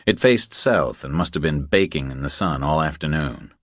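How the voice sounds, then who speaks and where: A man's voice: rumbling low, lively intonation, moderate pace, no room sound, studio quality. A man reading scripted thriller narration as an audiobook narrator.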